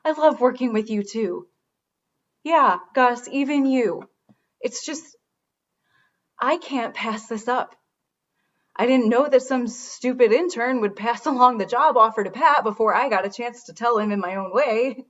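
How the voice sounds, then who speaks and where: A woman's voice: a little high, unhurried, fairly bright tone, highs cut off. A woman playing a wry, regretful radio show host in a conversational comedy radio drama. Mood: defensive, regretful.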